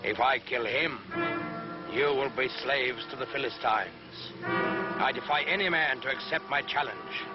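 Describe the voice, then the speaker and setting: A man's voice: lively intonation, measured pace, natural voice. A man voicing a huge, cruel, boastful giant warrior in a storybook tale, declaiming with defiance. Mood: defiant boasting. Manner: defiant, declamatory, booming challenge. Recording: dull lo-fi recording, loud music behind.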